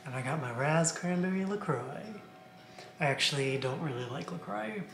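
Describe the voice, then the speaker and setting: A woman's voice: sits low, expressive, normal speed, faint music behind. A woman in her thirties chatting casually, off the cuff, a little away from the camera in a kitchen. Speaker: vlogger's partner. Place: home kitchen, indoors. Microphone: camera a few feet away. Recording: echoey room, off-mic, clear background noise.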